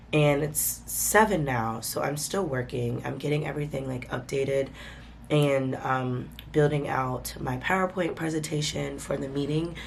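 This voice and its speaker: A woman chats informally into a handheld camera, calling her viewers y'all as she talks them through her day. Her voice sits a little low and sounds congested and stuffy from a cold. Faint ambient noise hangs behind her. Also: gravelly. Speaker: vlogger host. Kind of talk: casual vlog chat to camera.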